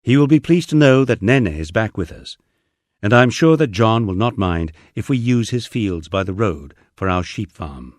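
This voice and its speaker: A man's voice: on the low side, no room sound, studio quality. A man reading his own memoir aloud in a wry, storytelling manner. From England. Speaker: audiobook narrator. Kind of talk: first-person memoir narration.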